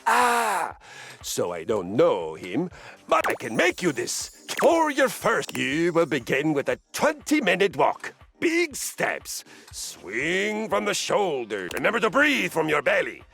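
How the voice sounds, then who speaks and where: Boastful warrior coach, booming and grandiose, gravelly swings. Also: male voice, huge pitch swings, takes it slowly, a bit bright, soft background music, full character voice. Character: boastful swaggering male warrior trainer. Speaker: fitness coach. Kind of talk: game voice acting.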